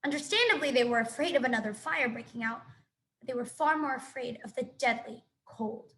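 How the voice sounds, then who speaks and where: A woman teacher reads a children's novel aloud, telling the story with expression. Her voice is fairly high. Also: animated, fairly quick, pushed and bright, a little hiss or hum. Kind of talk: children's book read-aloud.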